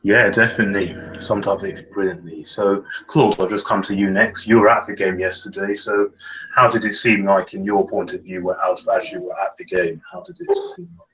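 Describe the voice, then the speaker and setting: A man's voice: low-pitched, steady tone, normal speed, fairly bright tone, dull audio, a little hiss or hum. A man hosting a casual podcast chat, asking each guest in turn with brief, friendly prompts. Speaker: podcast host.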